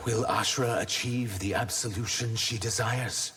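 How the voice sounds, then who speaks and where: An actor playing an earnest, wounded reptilian humanoid fighter in a video game, gravelly and questioning. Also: male voice, on the low side, fairly even intonation, a little slow, fairly bright tone. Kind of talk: video game dramatic dialogue. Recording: long echoing hall reverb, faint noise.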